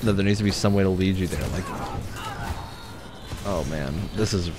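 Low-pitched young man commenting on a game. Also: moderate pace, over music. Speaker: game streamer. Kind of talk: casual gameplay commentary.

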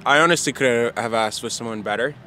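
Heartfelt young student from Haiti, bright forceful tone. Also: male voice, medium pitch, brisk, faint music behind. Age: young adult. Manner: warm, heartfelt. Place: outdoors on campus in snow.

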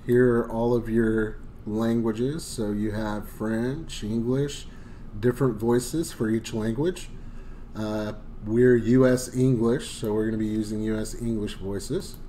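A man hosting a casual screen-recorded software tutorial, talking loosely with lots of fillers and enthusiastic asides. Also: fairly low pitch, steady tone, takes it slowly, a little hiss or hum. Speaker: tutorial host.

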